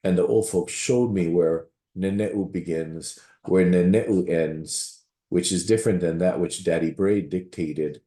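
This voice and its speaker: Older pastor, low mellow voice, wanders off track a lot, echoey room. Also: male voice. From Waianae, Hawaii. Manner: rambling, digressive storytelling with many restarts. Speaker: pastor giving public comment. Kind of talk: public meeting comment.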